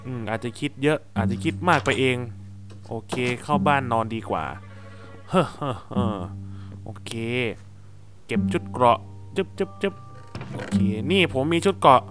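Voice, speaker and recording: A man's voice: mid-range voice, very animated, normal speed, long pauses, pitched below the performer's own voice, close to an everyday voice. A man voicing a clumsy, nervous noob villager who mutters to himself in a game cartoon dub. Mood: uneasy. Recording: music playing under voice. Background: background music.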